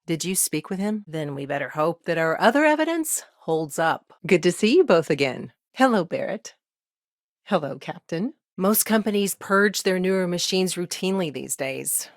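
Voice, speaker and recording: A professional female lawyer in a sci-fi video game, with a slightly low voice. She is articulate and composed, with a brisk, wry delivery, recorded close and dry with no room sound. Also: very animated, no room sound. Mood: polite, welcoming. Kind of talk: video game character dialogue.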